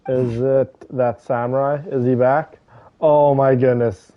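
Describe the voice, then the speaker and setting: A man chatting casually and haltingly with viewers as he streams a game, on slightly muffled audio. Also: mid-range voice, little pitch movement, no room sound. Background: faint game music.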